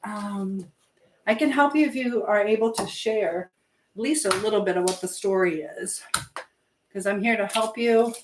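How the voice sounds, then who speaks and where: A woman's voice: medium pitch, moderate pace. Female livestream host, mid-range voice, informal and conversational while cooking, roomy echo and faint noise. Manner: chatty, reads viewer messages aloud and questions them. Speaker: live stream host giving advice. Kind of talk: casual live chat while baking. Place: indoors in a kitchen. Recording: echoey room with background noise.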